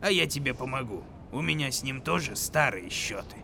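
A man voicing a calm grey wolf in a folk tale, speaking quietly and reassuringly. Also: medium pitch, brisk, gravelly, noisy background. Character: male grey wolf, calm helpful ally.

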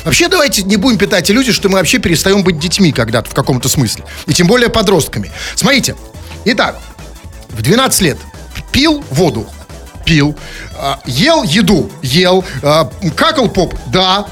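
A man's voice: high-pitched, sing-song, normal speed, fairly bright tone. A man hosting a casual comic radio show, bantering in a mock-serious, digressive way with teasing rhetorical questions. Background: music.

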